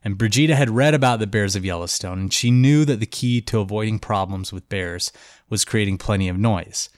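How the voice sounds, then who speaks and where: A man's voice: lowish voice, fairly quick, no room sound, clean studio sound. A man hosting a podcast who is congested and tells a story in a loose, chatty way full of "like" and "um". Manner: loose and chatty, with many "like" and "um" fillers. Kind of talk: casual storytelling chat.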